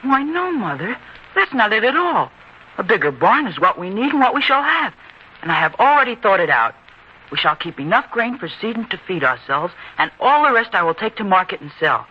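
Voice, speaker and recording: A man's voice: high voice, big pitch swings, fairly quick, breathy edge, heavily acted. A man acting a proud, headstrong farm boy in an old-time radio drama, earnest and declamatory in his insistence. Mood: impatient, firm. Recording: dull, lo-fi radio recording with hiss.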